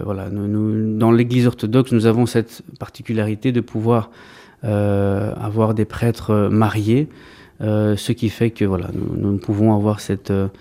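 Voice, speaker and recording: Man in his 30s, priest being interviewed, low-ish mellow voice, halting delivery with lots of fillers. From Greece, raised in Belgium. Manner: hesitant, many fillers and restarts. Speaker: Orthodox priest. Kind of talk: interview answers.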